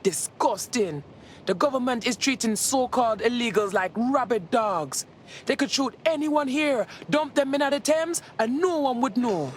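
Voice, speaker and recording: Indignant young-rebel type: highish voice, blunt, streetwise, game drama. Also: female voice, expressive, unhurried, slight background noise. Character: blunt, indignant female resistance operative. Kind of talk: dramatic video game acting.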